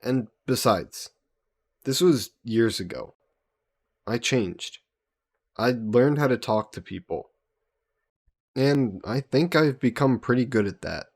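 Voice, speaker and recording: A man voicing an easygoing, teasing young adventurer hero in an intimate fantasy roleplay audio drama, casual and gently coaxing. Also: mid-range voice, lively intonation, takes it slowly, pauses often. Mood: fond, reminiscing. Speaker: voice actor.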